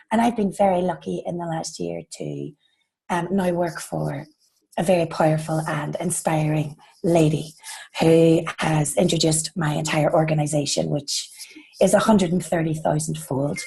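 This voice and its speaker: A woman's voice: low voice, fairly even intonation, normal speed, warm tone, low-quality recording. An Irish woman losing her voice to laryngitis, introducing herself politely on a group video call. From Ireland. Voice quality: hoarse and raspy. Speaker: first-time group call participant.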